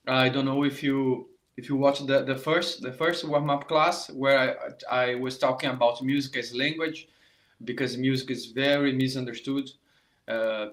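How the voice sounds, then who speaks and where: A man's voice: medium pitch, a bit bright. A middle-aged man from Brazil with a Brazilian Portuguese accent, a saxophone teacher speaking to viewers in a live online masterclass, often repeating words as he searches for them.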